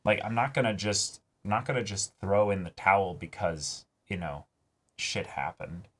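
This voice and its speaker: A man hosting an anime reaction show, talking casually and reflectively, with halting phrasing and the odd swear word. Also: fairly low pitch, fairly bright tone.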